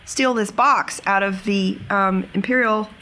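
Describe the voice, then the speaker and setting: A woman's voice: medium pitch, steady tone, measured pace, pushed and bright, pitched below the performer's own voice. A woman voicing a sheepish, nervous youngster who explains things in a hesitant, rambling, evasive way.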